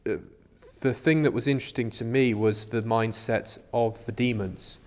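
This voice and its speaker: A man's voice: mid-range voice, steady tone, normal speed. A man co-hosting a podcast, talking through ideas in a reflective, explanatory, conversational way. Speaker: podcast co-host. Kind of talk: conversational discussion. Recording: reverberant room with long echo.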